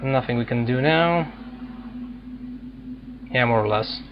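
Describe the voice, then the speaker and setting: A man doing casual game commentary, thinking aloud in halting fragments as he plays. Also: medium pitch, muffled recording, background noise. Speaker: gaming video host playing and commenting.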